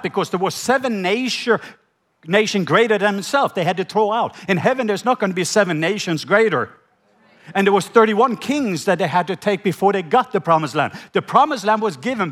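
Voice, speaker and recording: Man, Norwegian accent, middle-aged, preacher, slightly high voice, call-and-response sermon. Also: fairly bright tone. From Norway. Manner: slows down when reading scripture, prompts the audience to repeat words.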